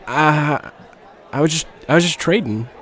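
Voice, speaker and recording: A man's voice: a little high, normal speed, fairly bright tone, a faint crowd, a little hiss or hum, close to an everyday voice. A man playing a pushy, slangy teenage boy delinquent in an audio book drama. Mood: nervous, defensive. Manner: slangy, wheedling. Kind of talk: audio book character acting.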